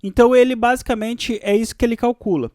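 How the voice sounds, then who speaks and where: A Brazilian man giving an informal, explanatory software tutorial, often checking that the listener follows. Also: very high voice, fairly even intonation, fast, no echo, studio quality. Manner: explanatory, often checking understanding with tag questions. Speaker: music software instructor.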